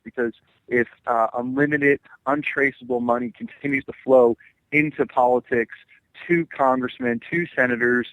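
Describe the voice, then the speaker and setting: A man's voice: medium pitch, a bit bright, dry recording, rough recording. A man in his twenties from New Jersey, answering radio interview questions as a political candidate, hesitant and heavy with fillers. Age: 20s.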